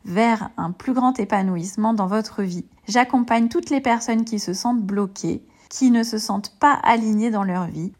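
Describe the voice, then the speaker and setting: A woman's voice: mid-range voice, darkish tone. A woman introducing her own podcast as a life coach, in a friendly, welcoming delivery.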